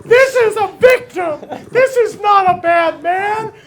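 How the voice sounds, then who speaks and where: Shrill, helium-high whiner, touchy, loud, comic improv character. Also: male voice, slow pace, vocal fry, exaggerated voice. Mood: whiny. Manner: whiny, shouting complaints. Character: squeaky high-voiced middle-aged man, whiny and touchy. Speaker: show host.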